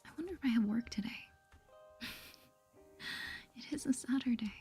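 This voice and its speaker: Breathy whispering woman, soft and mellow. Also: a little high, lively intonation, normal speed, soft background music. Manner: gentle, playful, thinking aloud. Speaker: gaming video host. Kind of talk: soft-spoken ASMR game playthrough, reading dialogue aloud.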